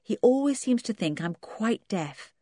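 A kindly housekeeper, as a woman narrator plays her, gentle and a little flustered. She speaks obligingly in a children's storybook, with lively pitch and a dry sound. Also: measured pace, no room sound.

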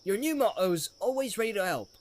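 A man's voice: sits high, animated, a little fast, pitched above the performer's own voice. A man voicing a loyal, plain-spoken hobbit servant with blunt, homely, rustic speech in a storybook reading. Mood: brisk, teasing.

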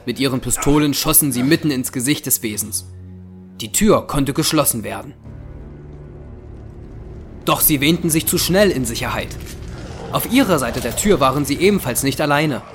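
A man's voice: mid-range voice, lively intonation, moderate pace, long pauses. A man reading scripted narration for an audio drama, telling the story in the past tense. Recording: loud music under the voice. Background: loud dramatic music.

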